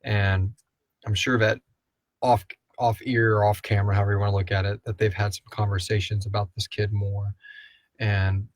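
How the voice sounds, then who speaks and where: Male podcast co-host, lowish voice, informal and jokey, rambling live Q&A delivery, very dry recording. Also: little pitch movement, normal speed, dry recording. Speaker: co-host and producer of an audio drama podcast. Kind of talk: casual live Q&A chat with listener questions read aloud.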